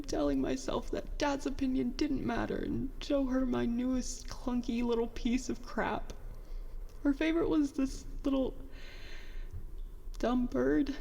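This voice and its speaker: An actress playing a bitter, self-doubting young petty officer in a halting, confessional monologue for a science fiction audio drama. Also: female voice, high voice, vocal fry, dark tone, long reverb tail, exaggerated voice. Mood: sad, subdued. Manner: halting, conversational, self-deprecating, mildly profane.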